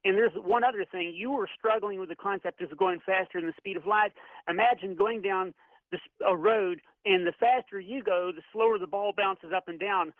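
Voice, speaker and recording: A man from Florida calling into a radio show, friendly and halting as he searches for words. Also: high-pitched, no echo. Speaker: caller. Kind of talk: phone-in caller. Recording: tinny phone line.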